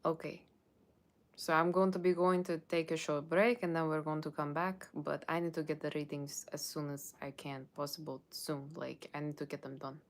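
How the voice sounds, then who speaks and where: Low-voiced woman with Russian accent. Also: level tone, normal speed. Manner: rambling, informal, often checking with "okay". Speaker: tarot reader hosting a livestream. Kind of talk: casual livestream chat to viewers.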